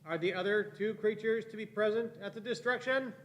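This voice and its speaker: Dalek underling, high, piercing, obedient, clipped, formal, sci-fi radio play. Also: male voice, fairly quick, a bit bright, a little hiss or hum. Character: obedient, clinical male Dalek underling, alien machine.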